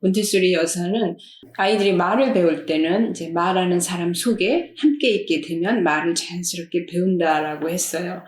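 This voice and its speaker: A woman professor explaining child development in a calm, measured way. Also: lowish voice, roomy sound. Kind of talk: expert explanation in a discussion.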